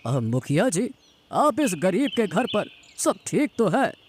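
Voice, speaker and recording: A man's voice: sits high, very animated, slow, a little hiss or hum, heavily acted. A man playing an old village farmer father in a cartoon moral story, admonishing and worried. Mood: surprised, humble. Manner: exhorting, admonishing. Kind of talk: animated moral story dialogue. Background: background music.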